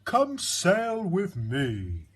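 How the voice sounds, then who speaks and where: A man voicing an immense, gentle, plaintive humpback whale from a storybook, drawing out his words. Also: high-pitched, huge pitch swings, drawn-out words, slight background noise, pitched above the performer's own voice, full character voice. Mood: inviting.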